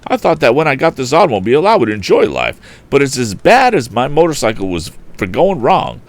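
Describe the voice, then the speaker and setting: A man puts on an eccentric storybook gentleman. The voice sits high and swoops with excitement. He blusters good-heartedly, turning nearly everything into an exclamation. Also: brisk. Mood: flustered. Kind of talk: storybook character voice.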